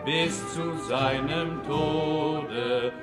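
Woman singing very slowly, lowish. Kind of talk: slow sung narration with music. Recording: echoey, loud music.

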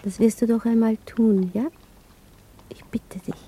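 An actress playing a tender, insecure woman in love in a radio play, asking softly and pleadingly. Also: on the high side, level tone, measured pace, a little hiss or hum, close to an everyday voice. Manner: soft, pleading, questioning. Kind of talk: German radio play acting.

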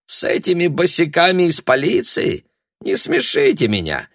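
Blustering, excitable small-time shopkeeper hustler for an audiobook, acted by a man, colloquial, closely recorded. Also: a little high, creaky, dry recording, studio quality, voice pushed up, full character voice. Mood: irritated, dismissive. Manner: blustering, quick to shout, colloquial. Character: agitated shopkeeper, hustler with colloquial southern turns of speech.

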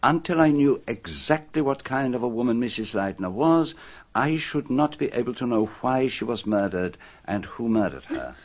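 A radio-play detective with a French accent. He speaks with formal courtesy and measured precision, philosophizing with theatrical flourish. His mid-pitched voice rises and falls in a lively way. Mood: solemn, deliberate. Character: polite, methodical foreign male detective, theatrically philosophical. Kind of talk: radio drama acting.

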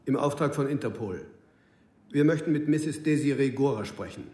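An actor playing a dry, pedantic, formally courteous federal agent in a radio drama. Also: male voice, mid-range voice, moderate pace, dark tone, natural voice. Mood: officious. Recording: roomy, echoing studio interior.

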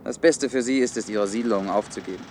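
An actor playing a cynical, hard-headed man in a German science-fiction radio play, blunt and deliberate as he explains. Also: lowish voice, a little mellow, a little hiss or hum, natural voice. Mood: cold, explanatory. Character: cynical, hard-headed male official defending his orderly world.

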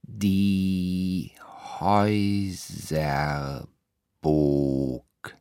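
A man recites poetry one word at a time in a low, smooth voice. He is extremely slow, with long gaps between words. The sound is very dry. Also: little pitch movement, dark tone, dry recording. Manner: isolated words with long pauses.

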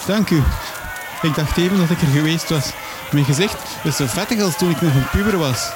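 An actor with a Flemish accent playing a hapless, polite, bewildered young journalist in a comic radio drama. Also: male voice, on the high side, a little fast, noisy background, close to an everyday voice. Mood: puzzled, polite.

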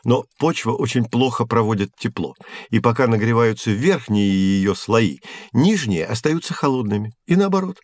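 An actor playing a patient, knowledgeable science teacher who explains in a measured, articulate way in an audio play. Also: male voice, medium pitch, expressive, no room sound, clean studio sound, natural voice. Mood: explaining. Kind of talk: scripted educational audio play.

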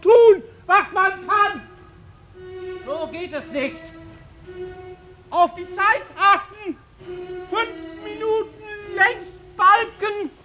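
A man's voice: extremely high pitch, extremely slow, pauses often, heavily acted, rough recording. An actor in a radio drama playing an obsessive male patient who speaks in strained, methodical, clipped fragments. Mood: strained, urgent. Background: loud music.

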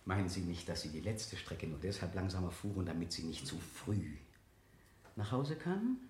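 An actor playing a stern, sardonic prosecutor in a German radio play, cross-examining with precise, insistent, pointed questions. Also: male voice, deep voice, big pitch swings, moderate pace, darkish tone, slight background noise. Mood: pointed, insinuating. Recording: roomy 1970 studio radio play sound.

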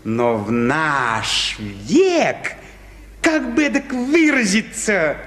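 A man's voice: a little high, very animated, very slow, fairly bright tone, a little hiss or hum, heavily acted. A man acting a fussy, moralizing, gossipy petty official in a radio play, with an emphatic, scolding manner. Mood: disapproving. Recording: reverberant hall-like studio.

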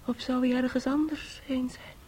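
An actress in a radio play voicing an anxious, tender young bride-to-be, breathy and hesitant. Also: female voice, high voice, little pitch movement, moderate pace, a little mellow, slight background noise, natural voice. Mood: hushed, wistful. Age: young adult. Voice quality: breathy, often near a whisper. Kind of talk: Dutch-language radio play acting.

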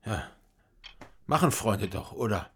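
A man's voice: lowish voice, animated, takes it slowly, breathy edge, heavily acted. An actor playing an anxious, brooding man in a radio drama, terse and hesitant in his speech. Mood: wary, questioning. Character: anxious, brooding East German man, former border soldier. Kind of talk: radio drama acting, inner narration and dialogue.

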